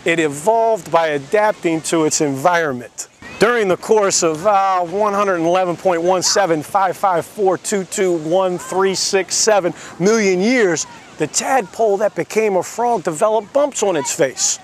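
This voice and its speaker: A man's voice: high voice, a little slow, slight background noise. A man narrating a satirical story in a mock-naive, sarcastic explaining manner.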